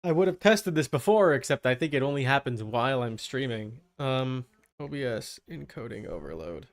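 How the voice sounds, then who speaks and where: A man's voice: medium pitch, lively intonation, moderate pace. A man chatting casually on a game livestream, thinking aloud and muttering to himself. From Canada. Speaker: game livestreamer using an avatar.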